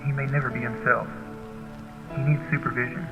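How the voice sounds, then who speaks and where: A man acting a calculating, persuasive businessman in an audio drama, speaking in a measured way. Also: medium pitch, little pitch movement, phone-quality sound, close to an everyday voice. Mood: pleading, persuasive. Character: calculating businessman, lover of his brother's wife. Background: loud background music.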